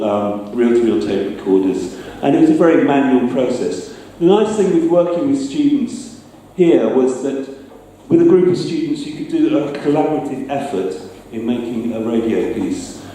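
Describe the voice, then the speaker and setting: A middle-aged man giving an informal talk, speaking hesitantly with pauses mid-phrase. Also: medium pitch, warm tone. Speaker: sound designer giving a talk. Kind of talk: informal public talk with slides. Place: large echoey room, speaking to an audience. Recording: distant, very echoey room with some noise.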